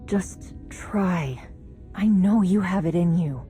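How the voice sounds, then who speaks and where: A woman playing a gentle, earnest, worried character in a video game cutscene, softly appealing to others. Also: on the low side, takes it slowly, soft background music. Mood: encouraging.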